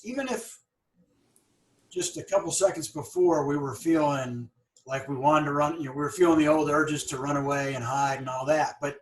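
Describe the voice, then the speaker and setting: A man's voice: fairly high pitch, poor audio. A middle-aged man hosting an interview, talking earnestly and stuttering now and then on words. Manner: earnest, stammers and repeats words. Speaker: podcast host; dentist and practice owner. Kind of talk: conversational interview.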